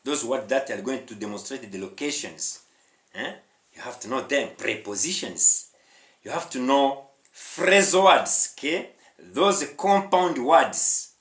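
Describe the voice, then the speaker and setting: A man's voice: medium pitch, expressive, measured pace, fairly bright tone. Echoey Rwandan man teaching English. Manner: emphatic, repetitive, with questioning interjections. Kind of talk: online language lesson, addressing viewers directly. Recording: echoey room.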